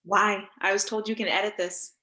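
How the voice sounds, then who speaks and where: A woman's voice: medium pitch, steady tone, moderate pace, bright, forceful tone, lightly acted. A woman in a staged play reading, playing a prickly, blunt academic author who talks in terse, disdainful questions. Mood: scornful. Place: indoors on a Zoom call.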